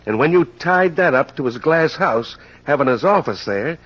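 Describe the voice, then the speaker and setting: An actor playing a gruff, plain-spoken police inspector, grumbling and exasperated, in old radio drama style. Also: male voice, fairly high pitch, lively intonation, normal speed, fairly bright tone. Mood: curious. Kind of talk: 1940s radio acting. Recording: muffled vintage radio recording.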